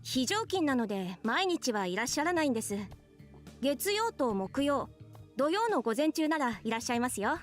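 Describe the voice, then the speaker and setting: High, quick, courteous nurse. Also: female voice, sing-song, faint music behind. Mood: polite, helpful. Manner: courteous service tone. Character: polite, helpful clinic nurse. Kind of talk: Japanese visual novel voice acting.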